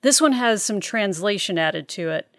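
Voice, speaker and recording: A woman's voice: medium pitch, lively intonation, moderate pace, a bit bright, no room sound, studio quality. A woman playing an earnest, professional American intelligence agent in a radio drama. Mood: earnest, formal.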